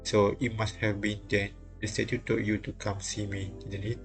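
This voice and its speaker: A man reading visual novel dialogue and narration aloud on a live stream, halting and repeating lines as he goes. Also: lowish voice, flat delivery. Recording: music playing behind the voice. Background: game music.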